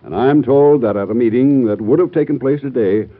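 A man's voice: medium pitch, a little fast, natural voice. An American man playing a formal, grave Treasury Secretary in an old-time radio drama. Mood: grave, urgent. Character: grave Treasury Secretary bringing bad news. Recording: tinny old radio show recording.

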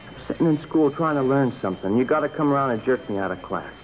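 An actor playing a defiant teenage suspect, answering with resentful, sarcastic backtalk in an old radio crime drama. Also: male voice, mid-range voice, lively intonation, quick pace, a little hiss or hum, natural voice. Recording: tinny old radio broadcast.

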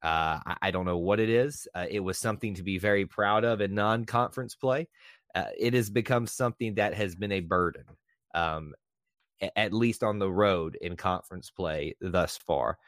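A man's voice: low voice, normal speed, fairly bright tone, dry recording, studio quality. A man hosting a casual sports podcast, talkative and easygoing, with fillers and repeated words. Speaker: podcast host.

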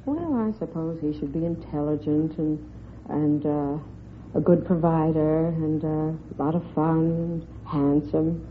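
A woman's voice: sits low, fairly even intonation, measured pace, narrow, tinny audio. A woman from New York politely answering questions as a contestant on an old radio quiz show. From New York, United States. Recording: thin, faintly noisy old radio recording.

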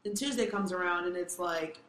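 A raspy-voiced woman in her twenties chatting candidly and telling a personal story. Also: fairly low pitch, brisk, a bit bright. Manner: candid storytelling, swears freely. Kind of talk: casual chat. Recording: echoey room.

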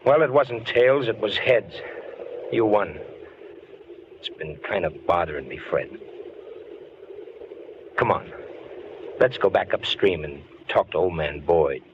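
An actor playing a rough-spoken man owning up to his friend in an old radio drama. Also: low-pitched, expressive, slow, long pauses. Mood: sheepish. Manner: folksy and sheepish. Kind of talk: 1930s radio drama acting. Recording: dull old radio, hiss, off-mic.

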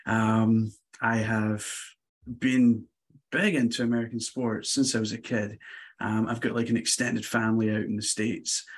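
A man's voice: on the low side, measured pace. A Scottish man in his forties with a Glasgow accent, a podcast guest casually telling stories about himself. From Scotland. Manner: relaxed, chatty, laughs lightly. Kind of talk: casual interview storytelling.

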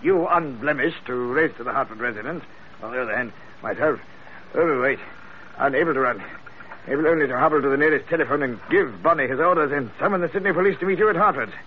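An actor playing an overweight, sly police inspector, florid and mock-polite, in 1940s radio style. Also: male voice, medium pitch, fast, lightly acted. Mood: sly amusement. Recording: dull, noisy old radio recording.

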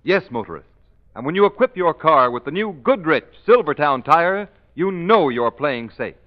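A man's voice: fairly high pitch, animated, moderate pace. A man announcing a commercial in formal, emphatic 1940s radio style. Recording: dull vintage radio recording.

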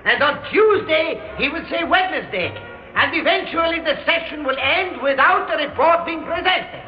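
An indignant delegate declaims accusations in a very high, strained pitch. The oratory echoes heavily, in a muffled old radio recording. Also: male voice, animated, normal speed. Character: indignant male foreign delegate making a speech. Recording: echoing hall, muffled radio, faint music.

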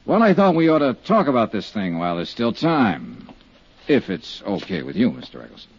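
Tough male detective-narrator, raspy, swooping intonation, blunt delivery, vintage radio drama. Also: mid-range voice, sing-song, normal speed, exaggerated voice. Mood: pressing, persuasive. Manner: blunt, pressing, hard-boiled. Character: hard-boiled, brisk male insurance investigator. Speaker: lead character and narrator. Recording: dull 1950s radio recording.

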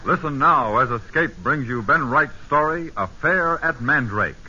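A man's voice: medium pitch, expressive, unhurried, very noisy. A man announcing a 1940s radio adventure show in a dramatic scripted style. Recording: muffled old radio broadcast. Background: loud dramatic music.

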